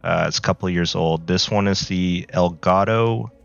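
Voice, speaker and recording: A man with a low, gravelly voice chats slowly and loosely while he plays, full of filler words. His voice sounds a little worn after being sick. The home recording is somewhat muffled. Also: no echo, faint music behind. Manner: relaxed, rambling, many fillers. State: recovering from flu, voice a bit worn. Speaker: gaming video creator. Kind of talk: casual gameplay commentary. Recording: slightly dull, lo-fi audio.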